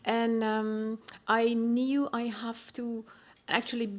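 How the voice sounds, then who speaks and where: A woman director with a Persian accent, speaking on a festival panel, pausing often with fillers and restarting her phrases. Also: a little high, level tone. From Iran. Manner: hesitant, many fillers and restarts. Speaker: film director.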